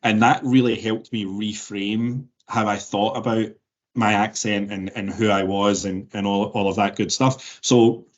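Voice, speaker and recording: A man in his forties with a softened Glaswegian Scottish accent, answering interview questions thoughtfully with many restarts and fillers. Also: lowish voice, steady tone, measured pace, lo-fi sound. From Scotland. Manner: thoughtful, frequent word repeats and fillers. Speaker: interview guest.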